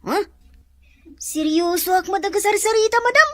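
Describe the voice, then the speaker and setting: A woman's voice: very high-pitched, normal speed, no echo. A woman with an Ilocano accent playing a cheeky, chatty, gossipy employee in a radio drama.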